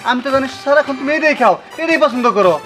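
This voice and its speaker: A man's voice: very high voice, lively intonation, rapid-fire, music in the background. A man acting a husband in a comic drama, protesting and pleading in village dialect.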